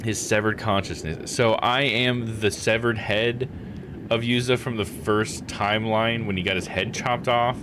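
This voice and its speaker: A man's voice: lowish voice, steady tone, a little slow, background noise. A man giving casual live commentary as he plays a game, thinking aloud in disbelief. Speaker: game streamer. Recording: music behind the voice. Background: game music.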